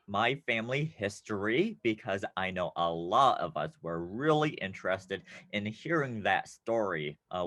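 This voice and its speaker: A man's voice: on the low side, no echo, studio quality. Upbeat man teaching a class lesson. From United States. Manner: upbeat, playful, with bursts of excitement. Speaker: teacher presenting a class video.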